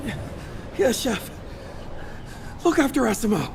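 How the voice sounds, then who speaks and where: A woman's voice: fairly high pitch, big pitch swings, a little slow, gravelly, slight background noise, full character voice. A woman voicing an earnest, weary young hunter in a video game, speaking hesitantly. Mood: shaken, earnest.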